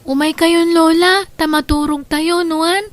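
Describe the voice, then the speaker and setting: A woman playing an earnest, dutiful young schoolgirl daughter in a radio drama, gently pleading. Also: extremely high pitch, fairly even intonation, measured pace, dry recording. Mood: protective, reproachful.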